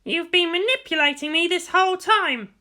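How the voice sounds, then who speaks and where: A woman's voice: very high voice, moderate pace, pushed and bright, pitched above the performer's own voice. A woman voicing an indignant teenage girl pilot in a dramatic story reading, blunt and accusatory. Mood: accusing. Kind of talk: dramatic fan fiction character reading.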